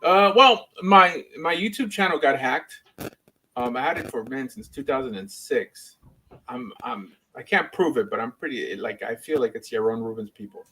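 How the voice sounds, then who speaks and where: A man's voice: mid-range voice, animated, normal speed, bright, forceful tone, husky, rough recording. A man speaking casually as a rabbi and livestream host, rambling and opinionated in an informal way. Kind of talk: casual livestream chat and debate.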